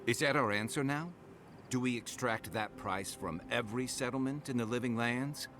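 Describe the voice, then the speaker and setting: A man's voice: mid-range voice, normal speed, fairly bright tone, a little hiss or hum, close to an everyday voice. A man acting a principled, troubled companion in a game cutscene, earnest, blunt and confrontational. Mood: challenging, troubled.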